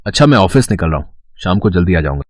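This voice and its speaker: Low-pitched, soothing young husband played by a man. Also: very animated, rapid-fire, dry recording, studio quality.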